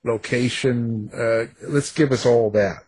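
A man with a husky voice hosting a casual interview, informal and stumbling a little over his questions. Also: mid-range voice, steady tone, slow, a little mellow, a little hiss or hum. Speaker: interview host.

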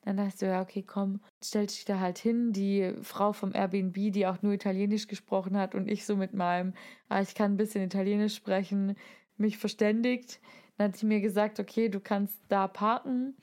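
A woman's voice: mid-range voice, level tone, normal speed, darkish tone. A woman telling anecdotes in a casual, enthusiastic, playful way, using slangy words as a podcast co-host.

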